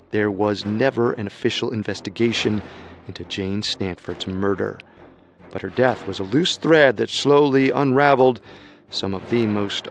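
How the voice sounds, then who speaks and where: A male podcast host giving measured, announcer-like scripted narration. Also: on the low side, slight background noise.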